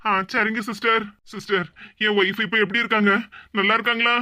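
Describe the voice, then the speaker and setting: A woman's voice: medium pitch, fairly even intonation, fairly quick, bright, forceful tone, dry recording, studio quality, natural voice. A woman voicing a worried young husband. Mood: pleading. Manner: anxious, subdued, pleading.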